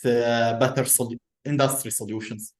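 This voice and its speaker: Hesitant Lebanese-raised engineer, echoey room. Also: male voice, mid-range voice, fairly even intonation, moderate pace. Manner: hesitant, repeats words, laughs lightly. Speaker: guest engineer. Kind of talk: casual interview talk.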